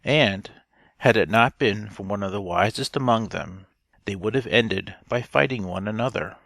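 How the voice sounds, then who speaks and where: A man reading a storybook narration aloud in a measured, deliberate manner. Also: lowish voice, gravelly, a bit bright, no room sound, clean studio sound. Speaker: audiobook reader.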